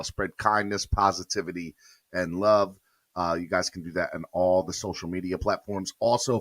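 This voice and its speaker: A man hosting a morning sports podcast, slightly hoarse, talking upbeat and straight to his viewers. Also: fairly low pitch, pushed and bright, no echo. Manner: upbeat, addresses viewers directly. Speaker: podcast host. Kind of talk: morning sports show intro.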